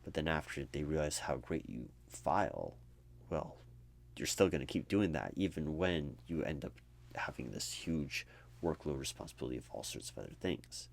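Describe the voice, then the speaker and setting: A man with a very low voice and heavy vocal fry plays a recruiter in a chatty ASMR roleplay. He rambles on with little energy, sounding apathetic and jaded, with a sardonic edge. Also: big pitch swings, moderate pace. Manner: rambling, sardonic asides mimicking corporate talk. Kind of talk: conversational ASMR roleplay monologue.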